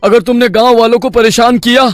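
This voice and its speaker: A man voicing a brave, determined villager in an animated story, speaking in an earnest, rallying, declamatory way. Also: very high voice, a little fast, no room sound. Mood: defiant. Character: brave, determined male village friends defying a demon.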